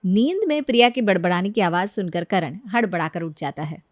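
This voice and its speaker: A woman's voice: lowish voice, fairly quick, no echo, studio quality. A woman narrating a scripted story expressively as a storyteller.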